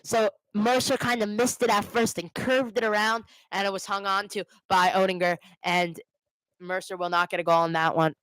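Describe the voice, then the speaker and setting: A woman's voice: medium pitch, fairly even intonation, normal speed, fairly bright tone, no room sound, low-quality recording. A woman giving live amateur hockey play-by-play for a fan watch party, correcting herself and explaining informally. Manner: exasperated Devils fan, self-correcting, explains plays informally.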